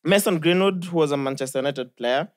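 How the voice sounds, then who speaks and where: A man's voice: fairly high pitch, a little fast. A young man with a Kenyan accent, co-hosting a casual podcast chat in a studio lounge, leading the talk with jokes. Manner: lively, joking, leads the talk. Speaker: podcast co-host. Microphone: studio mic on a boom arm.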